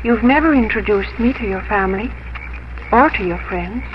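A woman's voice: medium pitch, expressive, moderate pace, a little hiss or hum, natural voice. An actress playing an earnest, devoted young farm woman in love, tender and restrained, in 1950s radio drama style. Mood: hurt, resigned. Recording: muffled old radio broadcast, music underneath.

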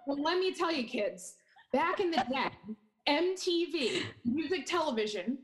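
Chatty, higher-voiced woman from New York. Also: animated. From Hudson Valley, New York. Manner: chatty and jokey, quick asides. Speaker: podcast guest. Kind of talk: casual interview chat.

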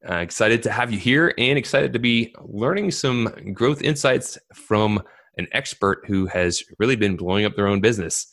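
A man's voice: on the low side, animated, moderate pace, fairly bright tone. A man hosting a podcast, reading a scripted intro, then chatting loosely and joking with his guest. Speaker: podcast host. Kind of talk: podcast interview.

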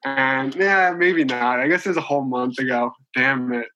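A man's voice: medium pitch, normal speed, pushed and bright, lo-fi sound. A man in his late twenties, a podcast guest, talking in a chatty, rambling way with many restarts and fillers. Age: 20s. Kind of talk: casual conversational interview.

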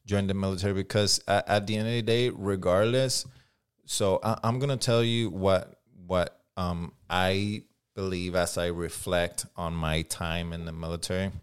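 This man in his forties has a Puerto Rican accent and a deep, smooth, resonant voice. He talks candidly and reflectively as an interview guest, with frequent restarts. He swears casually along the way. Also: fairly even intonation, normal speed. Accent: Puerto Rican Spanish. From Puerto Rico. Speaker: guest, firearms instructor.

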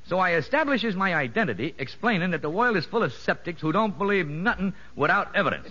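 An actor playing a boastful, streetwise convict safecracker in a 1950s radio drama, talking in ungrammatical slang dressed up with pompous fancy words. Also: male voice, high voice, big pitch swings, fast talker, sharp tone, exaggerated voice. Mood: boastful, relishing. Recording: dull vintage 1950s radio recording.

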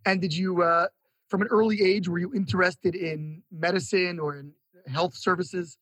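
A man's voice: sits high, fairly even intonation, normal speed, fairly bright tone, slightly rough. A man hosting an interview podcast, presenting and asking questions in polished, effusive phrasing. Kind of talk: scripted show introduction and interview questions.

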